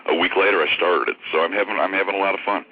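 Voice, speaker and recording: A man with a low voice and a head cold, a franchise consultant chatting as a guest on a phone interview. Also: a little hiss or hum. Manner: relaxed, chatty, halting. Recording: tinny phone line on radio.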